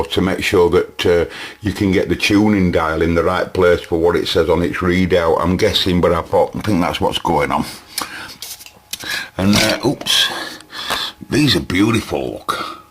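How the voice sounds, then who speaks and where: A man's voice: low voice, normal speed. A middle-aged man with a Northern English accent gives an informal hands-on technical walkthrough, rambling chattily and asking himself questions as he goes. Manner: chatty, rambling, self-questioning, chuckling asides. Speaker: vintage radio repair hobbyist and presenter.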